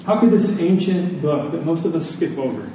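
A man's voice: fairly high pitch, very animated, moderate pace. A man preaching a sermon in a conversational teaching manner, with repetition and rhetorical questions. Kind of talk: sermon to a Sunday congregation. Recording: echoey room, distant mic, faint noise.

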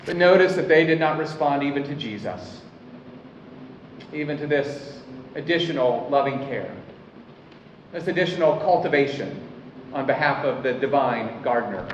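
A man preaching a sermon as pastor, earnest, slowing down to stress his key points. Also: mid-range voice, a little hiss or hum. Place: church hall. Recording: echoey hall, mic at a distance, dull sound.

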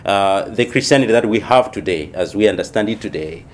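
A man from Malawi, a missionary church planter, answering interview questions in a thoughtful, unhurried way with many pauses. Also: lowish voice, expressive, a little hiss or hum.

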